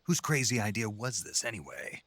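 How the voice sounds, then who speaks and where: A gruff, husky soldier. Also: male voice, lowish voice, big pitch swings, moderate pace, sharp tone, full character voice. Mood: wry. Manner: laconic and sardonic. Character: gruff male special forces soldier, dry wit. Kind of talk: game dialogue.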